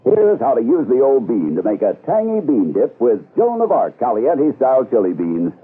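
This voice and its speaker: A man's voice: mid-range voice, huge pitch swings, normal speed, breathy edge. A man reading a scripted radio commercial on a tinny old broadcast. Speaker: commercial announcer.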